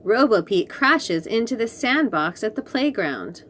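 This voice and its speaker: A woman hosts a read-aloud for young children. Her pitch moves in a lively way and her pace is unhurried. She is expressive and encouraging, stepping out of the narration for chatty, teacherly asides. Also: slight background noise. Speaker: children's story reader and host.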